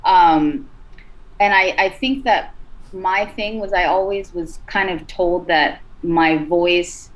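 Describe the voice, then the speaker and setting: A woman singer with a raspy, rugged voice, chatting as a podcast guest, loose and full of "like" and "you know". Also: on the low side, takes it slowly, poor audio. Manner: relaxed, many fillers like "like" and "you know". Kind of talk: casual interview talk.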